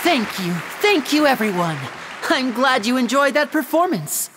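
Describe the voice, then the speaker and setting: A woman's voice: high-pitched, sing-song, normal speed, a bit bright, long reverb tail, a faint crowd, a little hiss or hum. A woman voicing a suave young male stage magician in a video game, addressing an audience with theatrical, polished and courteous patter. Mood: showy, gracious.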